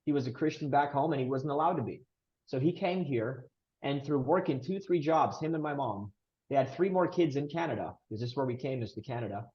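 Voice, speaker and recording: A man's voice: medium pitch, lively intonation, fairly quick, darkish tone, dull audio. A man in his thirties from Romania, a podcast guest earnestly telling his family story with emphatic delivery. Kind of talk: casual interview storytelling.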